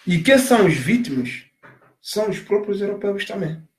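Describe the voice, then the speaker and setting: A man from Africa giving an impassioned monologue, emphatic and repetitive, stressing his points loudly. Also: sits high, lively intonation, normal speed, echoey room, slight background noise. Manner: emphatic, repeats phrases, rising to loud stresses. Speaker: commentator on migration.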